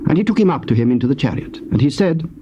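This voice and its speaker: A male narrator reads scripture in a solemn, measured way. His voice is medium in pitch. The recording is a dull old cassette with clear noise behind the voice. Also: very animated. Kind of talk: scripted narration of dramatized King James scripture.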